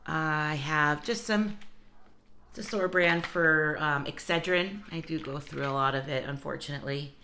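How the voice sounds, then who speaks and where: Woman, low voice with a bright edge, a bit hoarse from a cold; chatty vlog host talking to camera. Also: measured pace, a little hiss or hum. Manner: chatty, talks straight to viewers with asides. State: hoarse from a cold, losing her voice. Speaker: vlogger showing a grocery haul. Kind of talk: casual chatty vlog talk.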